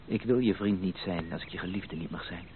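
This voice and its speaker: An actor playing an ardent, determined gentleman suitor, emphatic and romantic, in a Dutch radio play. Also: sits low, a little fast, close to an everyday voice. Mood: fervent, intimate. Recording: narrow, noisy old radio play recording.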